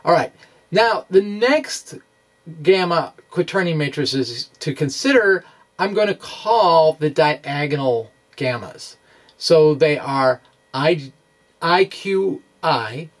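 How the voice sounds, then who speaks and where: Male science explainer, informal and unhurried, halting delivery with self-corrections. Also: on the high side. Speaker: science video blogger. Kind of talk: informal physics explainer narrating animations.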